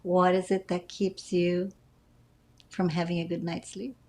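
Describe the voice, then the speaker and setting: A woman leading a guided meditation as its teacher, speaking gently and deliberately with frequent pauses. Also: lowish voice, level tone, a little hiss or hum. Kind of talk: guided meditation, calm instruction.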